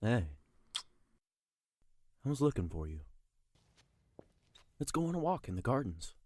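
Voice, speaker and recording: An actor playing a confident young castle guard in an audio drama, talking in a teasing way. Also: male voice, mid-range voice, very animated, measured pace, mellow, dry recording. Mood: easygoing.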